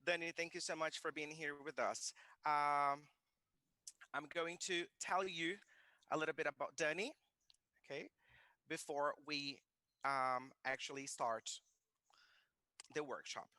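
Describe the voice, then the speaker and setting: A man's voice: fairly high pitch, fairly even intonation, slow pace, long pauses, bright, forceful tone, no room sound. A man hosting a live online workshop from a makerspace. He gives formal thanks and introductions and reads the guest's bio in Portuguese and English. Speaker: workshop host.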